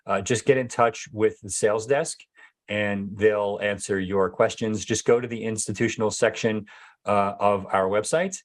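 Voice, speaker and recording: A man, a company executive, answering viewer questions in a casual live Q&A, hesitant with many fillers and restarts, his voice going hoarse. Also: fairly low pitch, steady tone, moderate pace. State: losing his voice.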